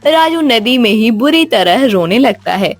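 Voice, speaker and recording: High-pitched woman storyteller. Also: expressive, unhurried, faint music behind. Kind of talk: scripted narration of a children's cartoon story.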